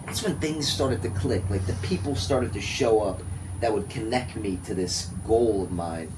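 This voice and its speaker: A man with a deep voice talks as a guest on a podcast. His delivery is reflective and measured, broken into short phrases. Background noise is clearly audible around him. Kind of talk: casual reflective conversation. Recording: noisy room ambience.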